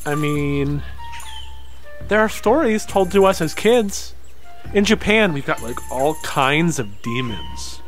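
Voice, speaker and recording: A man acting an eager, grumbling boy in an audio drama, whiny and excitable. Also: a little high, slow pace, soft background music. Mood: casual, eager.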